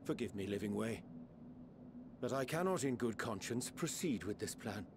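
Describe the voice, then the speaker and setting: An actor playing a calm, scholarly man in a fantasy video game, speaking in formal, eloquent, archaic phrases. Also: lowish voice, moderate pace, noisy background. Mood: grave.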